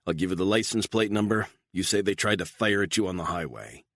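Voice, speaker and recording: A man voicing a calm, menacing gunman who gives clipped, flat threats. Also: low voice, brisk, no room sound. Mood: menacing, cold.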